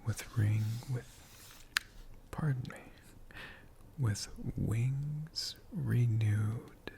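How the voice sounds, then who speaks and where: A man telling a story in a hushed ASMR whisper, in short halting phrases with long pauses between them. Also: medium pitch, creaky, noisy background. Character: friendly storytelling dragon.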